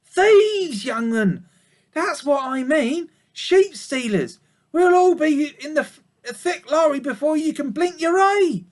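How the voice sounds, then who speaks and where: A man's voice: very high voice, animated, takes it slowly, voice pushed up, heavily acted. Man doing a puffing old country ewe. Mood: worried. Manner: puffing, folksy rural speech. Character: old motherly country ewe, fretful and kind.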